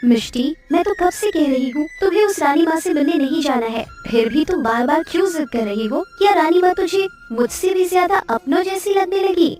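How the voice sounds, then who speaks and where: A woman's voice: very high-pitched, lively intonation, fairly quick, hardly any pauses, darkish tone. A woman voicing a possessive, fearful village mother, a fisherman's wife in a cartoon story. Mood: stern, hurt. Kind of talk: Hindi cartoon story dialogue. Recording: distant sound with faint music.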